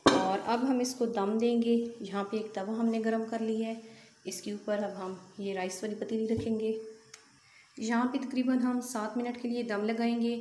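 Cooking host: woman, calm and methodical, slightly high voice, recorded in an echoey home kitchen. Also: a little hiss or hum. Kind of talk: step-by-step recipe voice-over.

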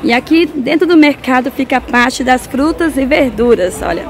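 A woman's voice: high-pitched, expressive, moderate pace. A middle-aged woman hosting a vlog, chatting casually as she guides viewers on a walking tour of a street market. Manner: chatty, guiding viewers along. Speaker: vlog host. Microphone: handheld mic.

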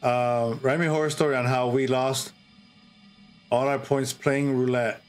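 A middle-aged man hosting a livestream, chatting casually and reading a horror story in a drawn-out, theatrical way with pauses. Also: medium pitch, flat delivery. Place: indoor streaming setup. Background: music.

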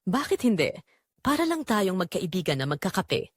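A woman's voice: mid-range voice, very animated, moderate pace, husky, dry recording, clean studio sound. A woman voicing a flirtatious, persistent male online suitor, coaxing and romantic, in an audio drama. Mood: persuasive.

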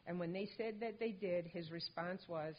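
An older woman at a lectern, reading a prepared tribute speech in a calm, measured way. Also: on the low side. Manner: measured, composed delivery. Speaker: mother accepting a hall of fame honor for her late son. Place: stage with a lectern in a large indoor hall. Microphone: lectern microphone. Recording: dull lo-fi hall recording.

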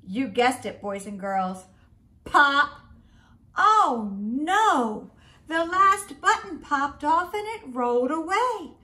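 A middle-aged woman reading a picture book aloud to children in an expressive, deliberate way. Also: sits high. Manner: expressive, slow and deliberate for children, with sing-song refrains. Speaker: school principal, guest story reader. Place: small home room, indoors.

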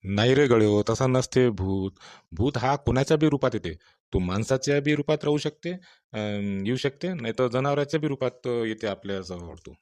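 A man voicing a calm, knowing old village farmer with a rural Vidarbha Marathi accent in cartoon storytelling. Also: mid-range voice, normal speed, no room sound, lightly acted. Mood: explaining.

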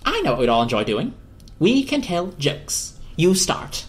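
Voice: medium pitch, wildly swooping pitch, unhurried. A narrator voicing a chatty, cocky male squirrel in a storybook. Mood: eager.

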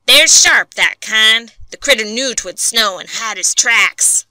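A woman's voice: fairly high pitch, lively intonation, slow pace, bright, forceful tone, no room sound, full character voice, low-quality recording. A woman voicing Bill Bates, a blunt, scornful logger man with a backwoods drawl.

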